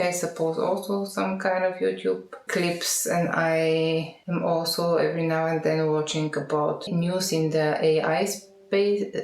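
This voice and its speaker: A middle-aged woman from Bulgaria with a Bulgarian accent, speaking non-native English in an unscripted monologue to camera, halting and correcting herself as she searches for words. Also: lowish voice, darkish tone. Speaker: vlogger practising English speaking. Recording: echoey, roomy sound.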